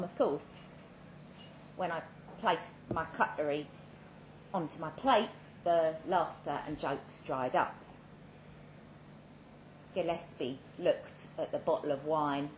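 Casting: female story reader, unhurried and dramatic, slightly low voice, recorded live in an echoing room. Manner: measured, with dramatic pauses. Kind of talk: dramatic first-person narration. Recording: roomy live venue with faint background noise.

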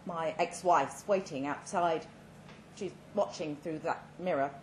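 A woman voicing a polite, resigned man in his fifties in a dramatic short story, speaking slowly and deliberately. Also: a little high, lightly acted. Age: 50s. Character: polite, resigned middle-aged man facing death. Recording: roomy live venue with background noise.

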